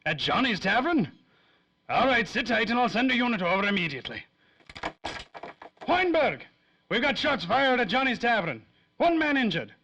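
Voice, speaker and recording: An urgent police dispatcher from an old-time radio play, acted by a man. The voice is extremely high and swings dramatically, with excited, emphatic delivery. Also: moderate pace, pauses often, dull audio, exaggerated voice.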